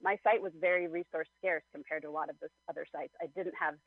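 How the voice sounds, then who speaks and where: A man answering interview questions in a thoughtful, measured way, with plenty of fillers. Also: a little high, fairly even intonation, no echo. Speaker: guest. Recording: slightly dull, lo-fi sound.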